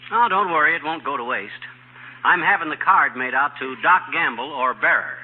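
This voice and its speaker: An actor playing a boastful, scheming small-town husband who wisecracks in slangy talk in a 1940s radio comedy. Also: male voice, fairly high pitch, expressive, moderate pace, phone-quality sound, lightly acted. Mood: chatty, enthusiastic. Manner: brash, slangy, cheerfully bragging. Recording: thin, noisy 1940s radio broadcast.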